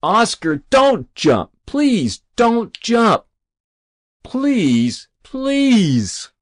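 A man's voice: high voice, big pitch swings, pitched above the performer's own voice, heavily acted. Man playing a desperate, pleading rabbi. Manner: imploring, drawn-out pleading.

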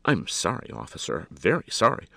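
A man voicing a sheepish, evasive fellow in a storybook who blusters through hurried, exclamatory excuses and protests. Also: low-pitched, wildly swooping pitch, gravelly, sharp tone, dry recording, heavily acted.

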